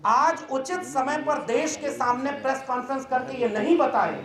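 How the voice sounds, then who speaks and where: A man's voice: extremely high pitch, normal speed. A man giving an emphatic, indignant, oratorical speech as a professor at a press conference. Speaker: university professor and activist speaker. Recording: echoey room with faint background noise.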